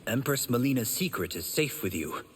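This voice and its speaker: A modest, well-meaning hero from a fighting game. His mid-pitched voice is animated, and he talks politely and sincerely. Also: normal speed, slightly rough. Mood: earnest. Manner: earnest, polite. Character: modest, earnest heroic male fighter. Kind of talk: video game fight intro dialogue.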